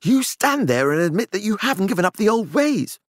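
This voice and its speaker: A man voicing an indignant, hostile character who is loud and demanding in an audiobook. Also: high-pitched, big pitch swings, fairly quick, no echo, studio quality, pitched above the performer's own voice.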